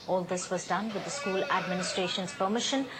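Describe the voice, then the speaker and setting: A woman reading a news report in a measured, formal way. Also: fairly low pitch. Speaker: news reader.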